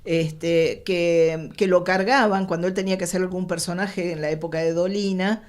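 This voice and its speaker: An Argentine woman in casual discussion, speaking with hesitant, halting phrasing. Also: lowish voice, steady tone, a little mellow. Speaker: panelist.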